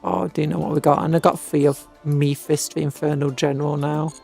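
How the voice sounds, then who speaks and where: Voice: mid-range voice, little pitch movement, a little fast, gravelly, dark tone. A British livestream host chatting casually in a rambling, hesitant way, often trailing off and restarting. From Britain. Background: music.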